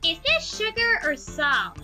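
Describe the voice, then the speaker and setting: A woman's voice: very high-pitched, huge pitch swings, measured pace, music in the background, heavily acted. A woman voicing a hesitant, scatterbrained young pony girl in a cartoon-style audio drama. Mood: puzzled.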